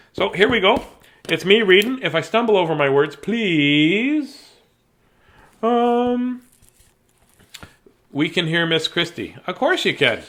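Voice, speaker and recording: A man with a fairly high voice hosts a casual bedtime story reading. He is playful and silly, wandering off into self-deprecating asides as he goes. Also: a bit bright. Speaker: children's story reader, host.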